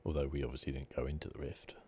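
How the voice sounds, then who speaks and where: Very deep soft-spoken man, ASMR gaming. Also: level tone, very fast, a little hiss or hum. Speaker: gaming channel host. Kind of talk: ASMR game commentary, casual. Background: video game sound.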